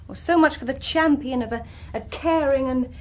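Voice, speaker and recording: An actress playing a cheeky, indignant young daughter with an English accent, scolding and sharp-tongued in a radio drama. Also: female voice, high-pitched, normal speed, a little hiss or hum, natural voice. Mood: indignant, mocking. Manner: accusing, cheeky. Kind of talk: British radio drama acting.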